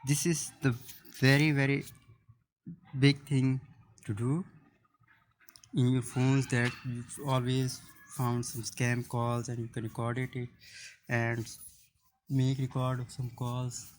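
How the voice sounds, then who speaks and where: A man presenting an unscripted phone app tutorial in halting, piecemeal phrases. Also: medium pitch, flat delivery, low-quality recording. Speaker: YouTube tech presenter.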